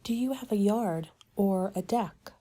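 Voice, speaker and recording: Soft, mellow woman in an ASMR roleplay. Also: mid-range voice, unhurried. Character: helpful, attentive monster party planner. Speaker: roleplay performer as a party planning agent.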